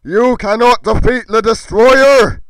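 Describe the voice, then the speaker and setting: Boastful aging warlord for game roleplay, strained high shout, pompous and combative. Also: male voice, slow, slight background noise. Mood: furious. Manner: pompous, shouting, speaks of himself in third person. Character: boastful, belligerent aging warrior villain, loud and bellowing. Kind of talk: theatrical fantasy roleplay acting.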